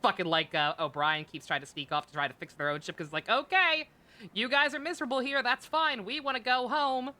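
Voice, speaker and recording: A woman's voice: on the high side, lively intonation, brisk, pushed and bright. A woman streaming a video game with casual, exasperated, sweary commentary.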